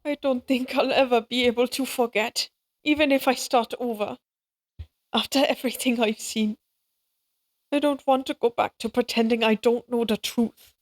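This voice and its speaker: A woman's voice: fairly high pitch, steady tone, moderate pace, natural voice. A woman acting in an audio roleplay as an anxious, tender young mother who speaks hesitantly and wistfully.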